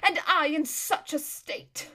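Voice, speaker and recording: A frightened, silly young lady of the court. Her voice is extremely high and swoops about in gushing whimpers. She is tearful and melodramatic, squeaking out every complaint. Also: very animated, measured pace, pushed and bright, exaggerated voice. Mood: wounded, self-pitying.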